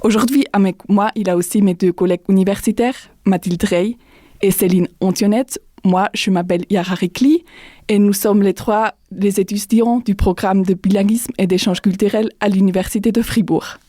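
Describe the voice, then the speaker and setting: A young woman student hosting a podcast discussion, speaking slowly and carefully. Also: medium pitch, fairly even intonation, warm tone, no echo, clean studio sound. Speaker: host and student.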